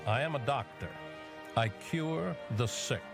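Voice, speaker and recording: A man voicing a self-assured male character in an animated cartoon. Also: mid-range voice, unhurried, creaky, music in the background. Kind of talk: cartoon voice acting.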